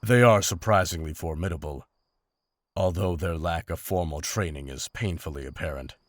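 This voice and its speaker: A man's voice: deep voice, no room sound. A man playing a seasoned, honorable monster hunter in a fantasy drama, with formal, restrained, serious speech. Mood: measured. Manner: formal, measured, serious wording. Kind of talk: fantasy audio drama acting.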